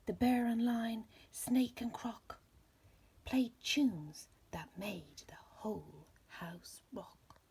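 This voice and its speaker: A woman with a husky, breathy voice reading a rhyming children's story aloud in slow, deliberate phrases. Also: a little high, lively intonation, darkish tone. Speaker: storybook reader.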